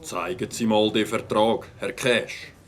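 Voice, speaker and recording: Man playing a deliberate village policeman. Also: lowish voice, fairly even intonation, background noise, natural voice. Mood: matter-of-fact. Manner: deliberate, official. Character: dutiful, incorruptible village policeman.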